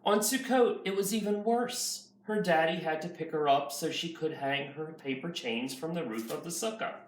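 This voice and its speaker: A man's voice: a little high, moderate pace. A man reading a children's picture book aloud as a nursery school teacher. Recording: roomy, far from mic, faint noise.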